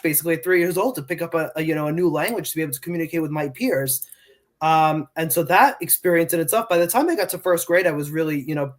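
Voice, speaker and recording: Earnest young man, slightly high voice. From New York, United States. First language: Portuguese. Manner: earnest, with many fillers and restarts. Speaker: middle school Spanish teacher. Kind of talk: casual interview answers.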